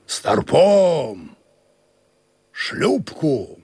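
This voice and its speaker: Very raspy, swooping sea captain. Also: male voice, on the high side, wildly swooping pitch, a little mellow, no room sound, exaggerated voice. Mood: calling out. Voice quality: very husky, raspy. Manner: measured, courteous, with sudden barked orders. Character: unflappable, commanding ship's captain, gruff yet philosophical. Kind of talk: radio play acting.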